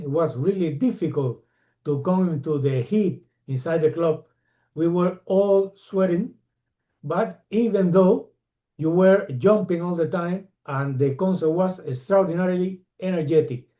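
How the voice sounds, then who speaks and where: A man who is not an English speaker asks interview questions in a halting way, repeating words. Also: high-pitched, dark tone.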